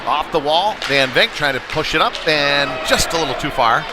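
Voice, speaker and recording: A man's voice: a little high, lively intonation, normal speed. A man doing live play-by-play commentary of a youth ice hockey game, in a folksy, home-team way. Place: indoor ice rink, at the game. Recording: loud rink noise with puck slams. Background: loud rink ambience, bangs.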